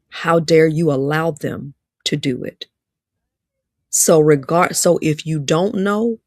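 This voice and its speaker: A woman's voice: sits low, no echo, studio quality. A woman in her fifties with an Alabama accent gives a livestreamed motivational talk, emphatic and preacher-like, repeating lines for stress. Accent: Southern US, Alabama. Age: 50s. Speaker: relationship speaker.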